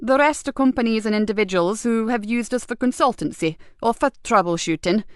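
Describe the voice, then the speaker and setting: A woman's voice: fairly high pitch, fairly quick, smooth, no room sound, studio quality, lightly acted. A woman voicing a mild, absent-minded middle-aged man who talks plainly and openly. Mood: easygoing.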